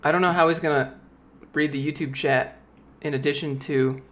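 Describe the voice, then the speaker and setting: A man in his late twenties hosting a casual livestream chat, relaxed and joking. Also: on the high side, normal speed. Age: 20s. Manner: relaxed, joking, playfully insistent. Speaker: livestream host.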